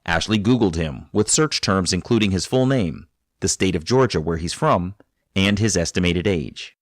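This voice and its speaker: A man hosting a podcast, narrating a guest's story and interviewing her in a calm, measured, encouraging way. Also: deep voice, dry recording, studio quality. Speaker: podcast host.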